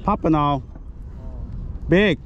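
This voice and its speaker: A man filming himself fishing from a kayak, giving short excited remarks about his catch through a head-mounted camera. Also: on the high side, slow, highs cut off. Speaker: angler filming his own fishing trip. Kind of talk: casual running commentary. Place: kayak on open water outdoors. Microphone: head-mounted action camera. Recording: loud outdoor wind and water noise. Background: water and wind noise.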